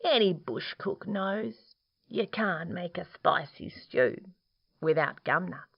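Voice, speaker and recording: A sleepy male koala from a storybook, done by a woman, yawning and hesitant yet sure of himself. The pitch wanders widely in a slightly muffled recording. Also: animated, slow, vocal fry, slightly rough, exaggerated voice. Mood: drowsy. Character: sleepy male koala, drowsy know-it-all.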